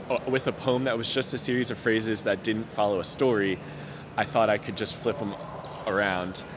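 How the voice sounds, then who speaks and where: Young man who stutters, low voice. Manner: stutters, with repeated sounds and broken-off words; earnest, open, many fillers. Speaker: interviewee. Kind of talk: reflective personal story. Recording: noticeable outdoor background noise.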